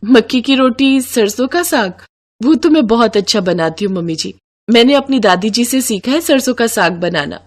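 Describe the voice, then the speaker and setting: A woman's voice: on the high side, expressive, normal speed. A woman voicing a sweet, caring young bride who speaks earnestly and respectfully, eager to please. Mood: eager, cheerful.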